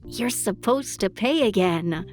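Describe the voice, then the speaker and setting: A woman's voice: medium pitch, expressive, measured pace, soft background music, lightly acted. A woman playing a sassy, blunt secretary to a private detective in a noir audio drama, sarcastic and frank.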